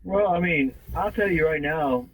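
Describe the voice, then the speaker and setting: A man's voice: on the high side, moderate pace, fairly bright tone, low-quality recording. A man working as a phone agent, giving casual, explanatory advice in a slightly hesitant way. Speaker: consumer complaint phone agent.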